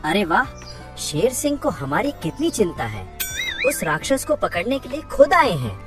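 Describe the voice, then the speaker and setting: A woman voicing an excitable, worried jungle animal in a cartoon fable, with exclamatory, colloquial speech. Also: mid-range voice, normal speed, far from the mic, over music, close to an everyday voice. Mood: admiring.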